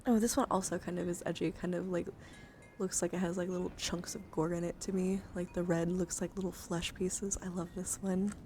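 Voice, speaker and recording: A woman livestreamer chatting casually in an ASMR style, halting and rambling as she thinks aloud. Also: on the low side, flat delivery, warm tone, a little hiss or hum. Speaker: livestreamer showing a dice collection. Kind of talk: casual ASMR chat to viewers.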